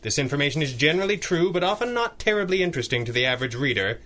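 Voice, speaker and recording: A man playing a stern masked hero with a measured, formal, authoritative delivery in a 1930s-set radio drama. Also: on the high side, animated, pushed and bright. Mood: firm, formal. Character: stern, commanding masked male crime-fighter hero.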